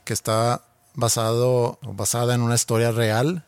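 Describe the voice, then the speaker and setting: A man's voice: mid-range voice, flat delivery, normal speed, clean tone, no echo. Dry-sounding male co-host. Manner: short prompting replies, dry remarks. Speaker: podcast co-host. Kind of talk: casual chat.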